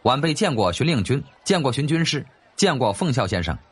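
男声演绎的爱显摆、笑呵呵的年轻公子. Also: male voice, medium pitch, big pitch swings, brisk, slightly rough, pitched above the performer's own voice. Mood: respectful. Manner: showy, courteous, cajoling. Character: cocky smiling young nobleman playing the gracious host. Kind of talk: audiobook character voice.

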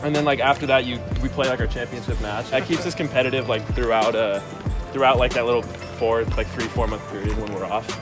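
A man's voice: mid-range voice, steady tone, a little fast. A young man, a college athlete being interviewed, chatting casually while walking outdoors on a campus. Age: young adult. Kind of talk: casual chat. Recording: music over outdoor campus recording.

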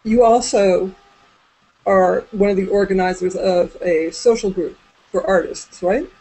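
A woman's voice: mid-range voice, steady tone, measured pace, mellow, rough recording. A woman in her forties casually interviewing a guest over a video call from a room at home. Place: small indoor room at home. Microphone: computer mic on a video call.

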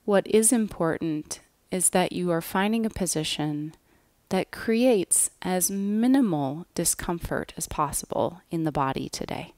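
A woman guiding a yoga nidra relaxation in a gentle, measured way, with soft phrase endings. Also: on the low side, animated, no echo. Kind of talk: guided relaxation meditation, scripted.

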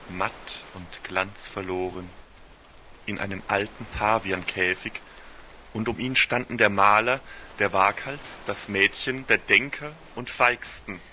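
Very bright-voiced man narrating a story. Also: fairly low pitch, slight background noise. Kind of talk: scripted literary radio-play narration.

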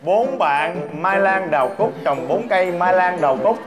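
A man's voice: sits high, expressive, moderate pace. A man in his thirties hosting a comic family game show, teasing the others and reading out tasks in a playful way. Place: indoors in a large shop or showroom. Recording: music bed under the speech. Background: loud background music.

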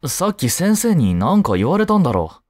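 A man's voice: fairly high pitch, wildly swooping pitch, dry recording, clean studio sound. A man playing an easygoing, caring teenage boy, a childhood friend, in a romantic audio drama, speaking casually and reassuringly to the listener. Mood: concerned, supportive. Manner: casual, gently reassuring, slow with pauses.

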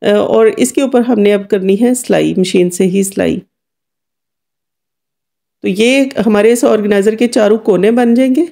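Woman with creaky voice, craft tutorial. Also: medium pitch, a little slow, warm tone. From Kashmir. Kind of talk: informal step-by-step DIY voice-over.

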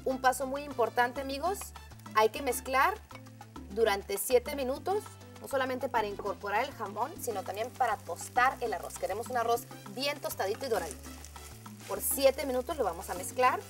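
A woman with a fairly high voice hosts a cooking demonstration, walking the viewer through each step in an upbeat, friendly way. Faint music plays under her voice, with a pan sizzling nearby. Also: moderate pace. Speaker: cooking show host.

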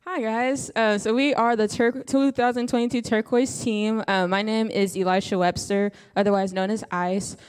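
A woman's voice: medium pitch, fairly even intonation, moderate pace, few pauses, a little mellow, clear, resonant tone. A young woman from Kentucky speaks into a handheld mic in a church sanctuary. She sounds friendly and a little hesitant, with a few fillers, and her voice sits in a middle range. The big room gives it a long echo. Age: young adult. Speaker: camp director. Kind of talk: casual introduction. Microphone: handheld microphone. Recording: reverberant church hall.

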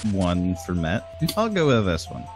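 A man's voice: low voice, wildly swooping pitch, moderate pace, soft background music. A man talking in a casual group chat.

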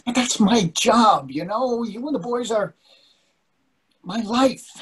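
A man's voice: very high voice, slow, a bit bright, husky, exaggerated voice. A man in his sixties playing an anxious, devoted, fussy husband in a play reading over a video call, earnest and questioning. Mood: earnest, tender. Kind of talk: readers theatre play reading.

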